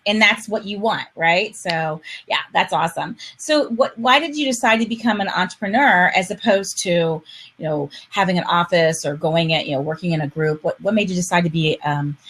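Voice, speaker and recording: A woman's voice: lowish voice, a little fast, a bit bright. Enthusiastic American woman hosting a live show. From United States. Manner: enthusiastic, rambling with restarts. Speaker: show host. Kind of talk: casual live-stream interview.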